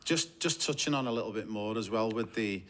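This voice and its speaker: A man's voice: on the low side, brisk, fairly bright tone. A man hosting a casual interview, asking conversational questions and often giving short agreeing replies. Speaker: interviewer, coach.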